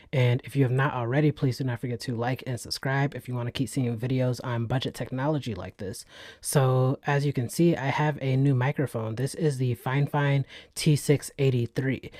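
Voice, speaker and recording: A man hosting a gadget review channel, talking casually about a microphone in a soft voice with plenty of fillers. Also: mid-range voice, dry recording, studio quality. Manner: relaxed, chatty, many fillers. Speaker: tech reviewer, channel host. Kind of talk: casual product review vlog. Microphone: USB desk microphone.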